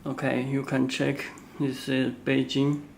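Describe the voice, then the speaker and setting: A man who is not a native English speaker, giving an unscripted product walkthrough in halting, simple phrases with frequent pauses. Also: medium pitch, completely flat delivery, gravelly. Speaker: product demonstrator. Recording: faint background noise.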